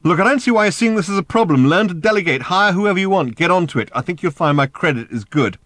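A man voicing a brash, fast-talking roguish space traveller in an audiobook, breezy, bossy and sardonic. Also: medium pitch, expressive, a bit bright, slightly rough, dry recording, voice pushed up. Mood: bossy, exasperated. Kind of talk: acted audiobook dialogue.

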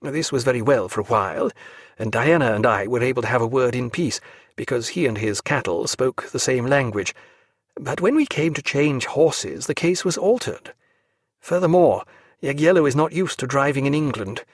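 A rueful ship's doctor tells his tale in an earnest, apologetic way, as a male reader voices him. His voice rises and falls with lively swings. The recording is dry and close. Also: mid-range voice, moderate pace, no echo, lightly acted. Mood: recounting. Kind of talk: audiobook character voice.

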